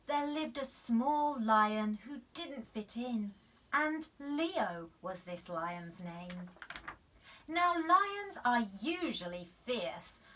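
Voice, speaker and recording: A woman storyteller giving an expressive reading of a children's bedtime story. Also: on the high side, recorded from a distance, poor audio.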